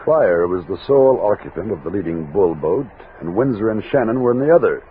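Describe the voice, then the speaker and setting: A man's voice: on the low side, expressive, a little fast, lightly acted. An American man playing a commanding frontier expedition captain who narrates his journey in a 1960s radio drama. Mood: measured, recounting. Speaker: lead actor and narrator. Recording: narrow-band old radio recording, music behind.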